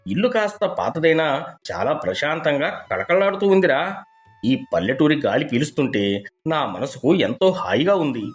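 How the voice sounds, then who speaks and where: A man's voice: on the high side, very animated, moderate pace, faint music behind. A man playing a genial middle-aged city contractor, talking familiarly as an old friend in a cartoon story.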